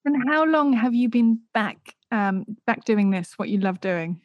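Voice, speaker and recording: A woman's voice: fairly high pitch, animated, measured pace, no room sound, lo-fi sound. A woman hosting a podcast, interviewing conversationally while recording under a blanket. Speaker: podcast host.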